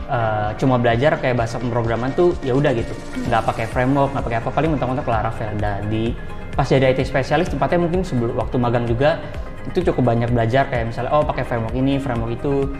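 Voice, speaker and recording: A young man talks very quickly as he answers casual interview questions. He explains step by step in informal wording. His voice rings in a roomy, reverberant space with music clearly behind it. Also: mid-range voice. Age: 20s. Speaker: interview guest, IT specialist.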